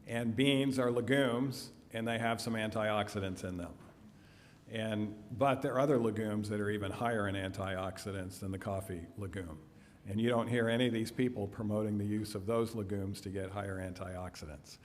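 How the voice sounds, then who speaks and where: Low-voiced man lecturing in an echoey church. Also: fairly even intonation, slight background noise. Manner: measured, trailing off at phrase ends. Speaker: health seminar speaker. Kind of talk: lecture with audience Q&A. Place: church hall. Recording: echoey hall.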